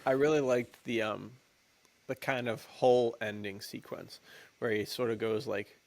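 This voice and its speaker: A man's voice: lowish voice, fairly even intonation, unhurried, vocal fry, no room sound. A man co-hosting a podcast, chatting casually and informally about films in an easygoing, appreciative way. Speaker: podcast co-host. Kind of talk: casual film discussion chat.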